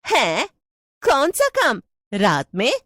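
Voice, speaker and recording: Woman doing a high, nosy gossiping housewife. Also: wildly swooping pitch, slow pace, fairly bright tone, dry recording, studio quality, exaggerated voice. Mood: eager, prying. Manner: eager, prying, chatty. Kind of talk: Hindi cartoon storytelling.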